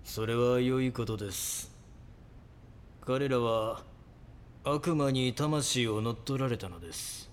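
An actor playing a solemn, self-assured and sinister cult guru, pontificating unhurriedly in an audio drama. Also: male voice, mid-range voice, takes it slowly, long pauses, clear, resonant tone, natural voice. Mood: calm menace.

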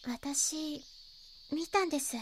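A young girl in an audio drama. The voice is high and polite. She speaks hesitantly, as a witness telling what she knows. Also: slow, slight background noise. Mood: hushed, confiding.

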